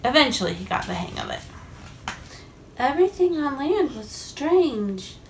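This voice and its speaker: Slow, very animated woman reading a storybook. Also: high-pitched, vocal fry. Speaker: parent reading aloud to a child. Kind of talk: expressive children's picture book read-aloud. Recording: echoey room, faint background noise.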